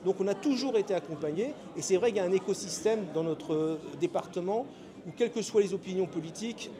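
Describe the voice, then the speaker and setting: A man, a local mayor, giving a measured press statement with frequent hesitations and filler pauses. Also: high voice, crowd noise.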